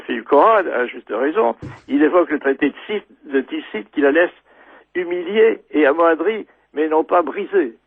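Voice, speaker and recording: An older man with a gravelly, husky voice, lecturing haltingly as a guest historian, repeating words and insisting on his digressions. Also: on the high side, dry recording. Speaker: guest military historian, retired general. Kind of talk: radio lecture from notes, reading quotations. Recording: thin, dry phone-like line.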